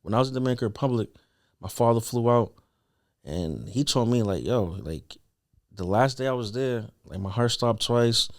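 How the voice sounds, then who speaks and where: A man's voice: medium pitch, animated, normal speed. A young man telling a personal story as a podcast guest in a casual, slangy, earnest conversational way.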